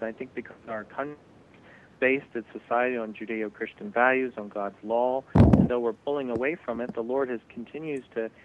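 A man co-hosting a broadcast discussion, reeling off statistics in a measured way. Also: medium pitch. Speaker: co-host. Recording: tinny phone-like line with faint hum.

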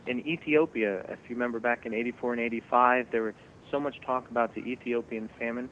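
A man co-hosting a broadcast discussion, reeling off statistics in a measured way. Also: medium pitch, fairly even intonation, a bit bright. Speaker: co-host. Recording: tinny phone-like line with faint hum.

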